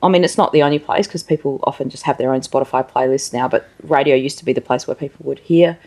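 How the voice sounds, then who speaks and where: A woman's voice: rumbling low, quick pace, warm tone. A woman hosting a conversational interview, speaking informally and thinking aloud as she goes. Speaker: interviewer, show host.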